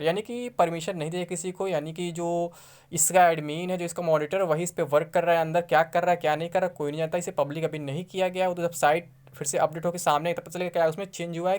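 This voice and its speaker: A woman's voice: lowish voice, fast talker, darkish tone. A woman with an Indian accent giving an informal news explainer, reading articles aloud and explaining them. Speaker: YouTube exam news presenter.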